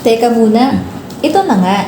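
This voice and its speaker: A woman's voice: a little high, animated, moderate pace, noisy background. A young Filipino woman narrating as a teacher telling a story to her class in a student radio drama. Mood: encouraging. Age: young adult. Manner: expository, prompting pupils with questions. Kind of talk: scripted narration, storytelling lesson. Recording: echoey room with background music.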